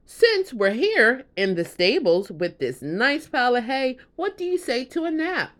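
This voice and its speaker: Woman reading as an upbeat, high-pitched chummy guy. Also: sing-song, unhurried, fairly bright tone, heavily acted. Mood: coaxing. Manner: chummy, exclaiming. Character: Flynn, upbeat young man, chummy and scheming.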